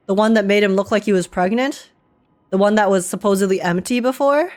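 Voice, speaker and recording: A woman's voice: mid-range voice, brisk, darkish tone. A woman giving casual live reaction commentary, informal and rambling as she thinks aloud. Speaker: streamer reacting to a game. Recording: game music in the background. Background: video game music and dialogue.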